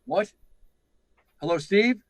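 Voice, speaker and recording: A man's voice: high voice, lively intonation, slow pace, no echo. A man playing an anxious, commanding flight controller with an urgent manner, in radio drama style. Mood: troubled, hesitant.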